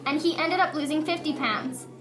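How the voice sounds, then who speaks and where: A woman's voice: high voice, normal speed, over music. A woman narrating a story for a live puppet show. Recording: live show with crowd noise.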